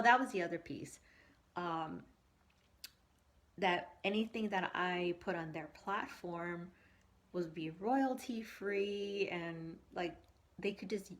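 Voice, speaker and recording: A woman speaking as a coach on a casual livestream, conversational and animated, telling personal anecdotes and giving advice while often checking for agreement. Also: fairly low pitch. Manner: animated, conversational, acts out quoted thoughts and asks for agreement. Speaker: coach hosting a live stream.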